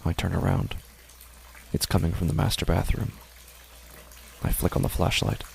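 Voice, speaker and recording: A man's voice: deep voice, little pitch movement, moderate pace, creaky. A man hosting and narrating a scripted horror story. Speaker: podcast host and narrator.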